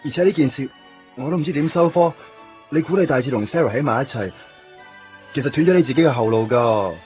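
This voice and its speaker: A man's voice: medium pitch, fast, natural voice. An actor playing a timid, earnest young man in a radio play, speaking Hong Kong Cantonese and stammering over his words. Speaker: supporting character in a radio play. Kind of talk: 1990s radio drama acting. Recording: dull lo-fi radio recording with music.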